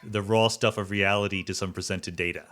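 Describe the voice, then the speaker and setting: A man's voice: low voice, steady tone, a little fast, fairly bright tone. A man hosting an interview podcast, asking curious, informal questions and rephrasing them as he goes. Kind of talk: conversational interviewing.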